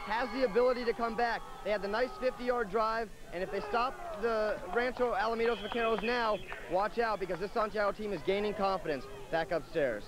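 High-voiced man reporting from the sideline. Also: noisy background. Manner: quick, emphatic report. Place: outdoors at night on a football sideline. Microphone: handheld stick mic.

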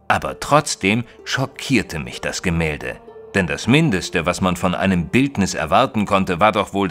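Gentleman narrator, detective audio drama: very deep, animated, proper, faintly indignant, measured storytelling. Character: proper Victorian gentleman narrator, opinionated, easily shocked companion. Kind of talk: dramatised first-person audiobook narration in German.

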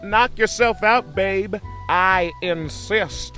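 Suave male cartoon beaver man acting, affectionate sweet-talker, slightly high, slow delivery. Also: sharp tone, soft background music, full character voice. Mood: smooth, affectionate. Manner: cool, sweet-talking.

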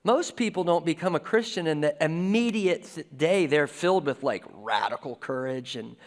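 A man in his forties preaches from a church stage in an easy, conversational way, his voice sitting a little high for a man. The sound carries a long reverb from the hall around him. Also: moderate pace. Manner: conversational preaching with lively gestures. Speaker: pastor. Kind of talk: sermon. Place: church stage with a lectern and guitars.

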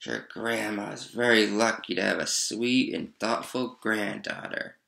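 A man voicing a big, sly wolf in a children's story, with a deep, growly voice and slow, falsely kind delivery. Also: creaky, pushed and bright, full character voice. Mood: sly, menacing.

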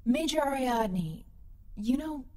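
A woman's voice: high-pitched, animated, takes it slowly, a little mellow, exaggerated voice. A woman playing a headstrong, loyal rebel captain in an audio drama, informal and direct. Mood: friendly.

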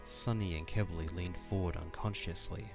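Low-pitched male storytelling narrator. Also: fairly even intonation, normal speed, creaky, music in the background. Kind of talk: scripted audio drama narration.

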